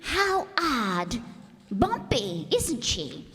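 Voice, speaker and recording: High, drawling, wide-eyed carrot lady played by a woman. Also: sing-song, pushed and bright, soft background music, full character voice. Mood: curious, coy. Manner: drawn-out, wondering, theatrical. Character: naively curious, melodramatic carrot lady. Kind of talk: old-time radio comedy acting. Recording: reverberant hall stage recording.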